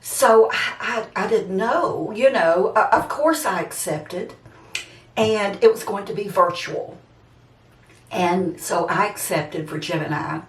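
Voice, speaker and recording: Older woman vlogger, roomy distant sound. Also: lowish voice. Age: 70s, seventy-six. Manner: chatty and rambling, addresses the listener directly. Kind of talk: casual personal chat to viewers. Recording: roomy echo, distant microphone.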